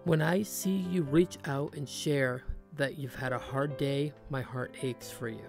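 A woman in her thirties, a cleaning channel host, speaking in a soft, earnest, sincere voice-over. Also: extremely low pitch, a little slow, creaky, soft background music. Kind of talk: heartfelt voice-over narration.

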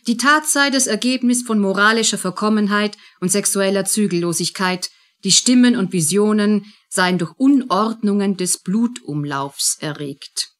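A woman reading scripted narration in a measured, composed manner. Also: medium pitch.

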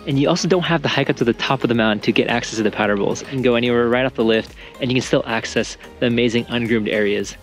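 A man's voice: medium pitch, a little mellow. A young man narrating a casual travel vlog as he talks to an action camera. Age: young adult. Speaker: travel vlogger. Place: ski resort, partly outdoors on slopes, partly in a gondola. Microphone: action camera at arm's length. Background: music.

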